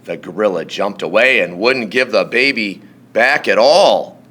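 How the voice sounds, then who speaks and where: Guy reading a kids book out loud, super animated, bright voice, kinda theatrical. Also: lowish voice. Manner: expressive, theatrical, with drawn-out words and emphatic sound effects. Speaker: storybook reader. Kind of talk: animated children's read-aloud narration.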